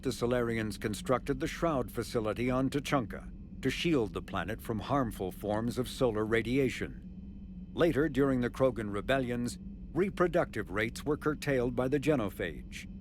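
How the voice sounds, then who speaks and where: A man's voice: medium pitch, noisy background, lightly acted. A man playing a formal encyclopedia narrator, reading scripted lore for a science-fiction game.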